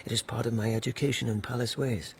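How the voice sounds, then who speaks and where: A man's voice: mid-range voice, steady tone, quick pace, creaky, slight background noise, lightly acted. An actor playing a dogged, intense palace policeman in a radio drama, speaking in an earnest, formal and carefully courteous way. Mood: respectful, resolute.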